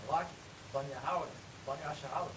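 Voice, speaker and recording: A man quietly repeating prayer lines after the leader. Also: a little high, fairly even intonation, fast, slight background noise. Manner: quiet call-and-response repetition. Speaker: congregant reciting prayers.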